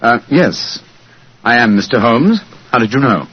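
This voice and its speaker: Courteous, assured detective, husky voice, precise delivery, vintage radio drama. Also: male voice, mid-range voice, lively intonation, unhurried. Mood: purposeful. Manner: crisp, precise, gentlemanly. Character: brisk, assured, courteous gentleman detective, a man. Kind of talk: 1940s radio acting. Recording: dull vintage radio recording.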